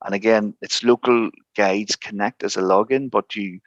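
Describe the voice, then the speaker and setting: A man speaking as a guest expert in an informal online tutorial, encouraging but halting, over slightly dull call audio. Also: fairly low pitch, steady tone, dry recording.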